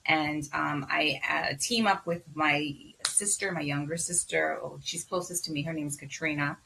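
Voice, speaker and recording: A woman from the Bahamas with a Bahamian accent, a physician guest talking casually and lively in an interview, with many ums and repeated words. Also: low-pitched, normal speed, vocal fry, a little hiss or hum, rough recording.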